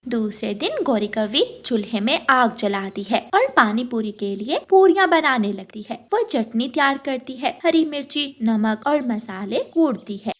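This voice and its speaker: A woman narrates a children's cartoon tale. Her voice is high-pitched and very lively in its rise and fall, in a scripted storytelling style. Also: a little slow, hardly any pauses.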